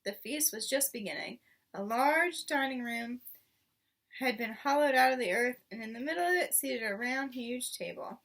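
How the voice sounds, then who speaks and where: A woman reading a children's story aloud in an expressive, dramatic way. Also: a little high, moderate pace, distant mic. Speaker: storybook reader.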